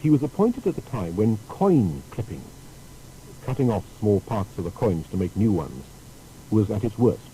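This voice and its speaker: A man's voice: lowish voice, moderate pace, poor audio. A man narrating a scripted historical biography in a formal, storytelling manner. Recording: steady faint background noise.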